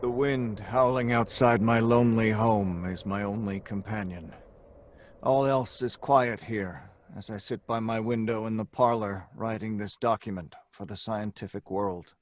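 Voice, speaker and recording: A man's voice: on the low side, measured pace, slight background noise, close to an everyday voice. An actor playing an earnest, obsessed young scientist telling his grim tale in an old-time radio drama style. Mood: grave, haunted. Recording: music under the voice.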